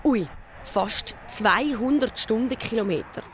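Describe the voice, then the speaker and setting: A woman's voice: a little high, lively intonation, a little slow, noisy background. A woman with a Swiss accent, a children's TV reporter, reading scripted documentary narration for young viewers.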